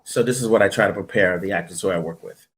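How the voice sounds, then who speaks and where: A man's voice: low-pitched, quick pace. A middle-aged man, a voice-over coach, telling stories in a casual interview and acting out the directions he gives in the booth. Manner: animated storyteller who acts out booth directions.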